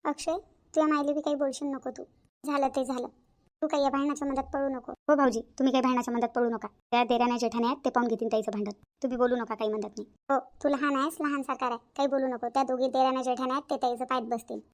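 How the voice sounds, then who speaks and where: A woman's voice: high voice, little pitch movement, quick pace, natural voice. A woman playing an outspoken village aunt in a cartoon family drama, with a Varhadi Marathi accent from Vidarbha and an emphatic, repetitive, colloquial way of talking. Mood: advising. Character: outspoken village aunt, sister-in-law, frank peacemaker.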